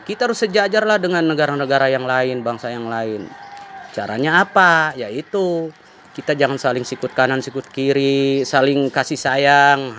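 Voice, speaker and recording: A man's voice: medium pitch, fairly bright tone, a little hiss or hum. A man with an Indonesian accent giving an informal, exhorting monologue, repeating his points for emphasis. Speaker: musician and online vlogger. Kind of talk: informal opinion monologue to camera.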